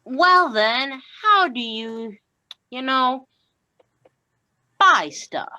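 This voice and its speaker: Swaggering comedic outlaw, acted by a young woman, bright forceful voice, slowish, highish pitch, stage-play delivery. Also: animated, lo-fi sound, full character voice. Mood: puzzled. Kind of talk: comic stage acting over video call.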